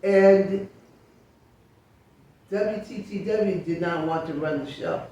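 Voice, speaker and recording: Older woman, Chicago, animated storyteller; distant mic in a roomy space, faint hiss. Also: medium pitch, slow pace. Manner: storytelling, lively recollection. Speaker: guest, author. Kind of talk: reminiscing interview talk. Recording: echoey room, distant mic.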